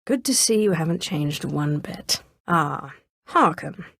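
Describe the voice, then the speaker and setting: A woman's voice: sits low, lively intonation, slow, gravelly, no echo, studio quality, heavily acted. A woman voicing a ruthless, sardonic Sith sorceress in a video game, haughty, cool and ambitious. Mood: dry sarcasm. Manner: haughty, cool, dryly sarcastic. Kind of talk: scripted video game voice acting.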